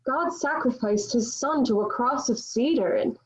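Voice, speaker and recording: Lady playing a stubborn devout peasant girl, highish voice, earnest old-fashioned lines, slightly muffled video call audio. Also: normal speed, natural voice. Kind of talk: dramatic table read of a period stage play. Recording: dull, lo-fi online call.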